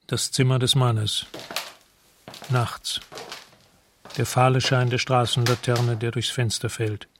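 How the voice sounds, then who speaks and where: An actor playing a detached narrator in a radio drama, describing scenes in a sober, measured way. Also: male voice, mid-range voice, flat delivery, slight background noise.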